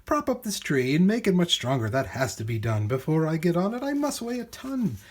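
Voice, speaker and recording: A man's voice: fairly high pitch, wildly swooping pitch, a little fast, pitched above the performer's own voice. A man voicing a faithful, stubborn, long-suffering storybook elephant, declaiming emphatically in rhyme. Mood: busy, determined.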